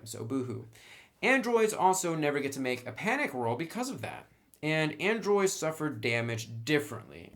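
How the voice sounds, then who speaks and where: Casual man explaining tabletop game rules. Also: medium pitch. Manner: conversational, wry asides.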